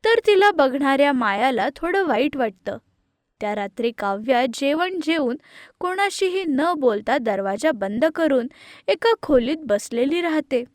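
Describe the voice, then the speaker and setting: A woman reading scripted narration for an animated moral story. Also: high voice, dry recording, clean studio sound.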